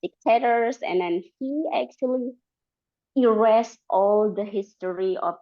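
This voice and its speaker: Halting woman with an Indonesian accent. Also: mid-range voice. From Borneo, Indonesia. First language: Dayak language. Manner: halting, many fillers and restarts. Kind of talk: conversational talk, second-language English. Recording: dull, lo-fi.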